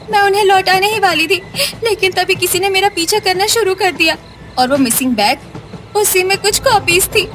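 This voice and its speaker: A woman's voice: very high voice, a bit bright. A woman playing frightened, protective young female relatives in a melodramatic story, anxious and urgent. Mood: tense, confiding.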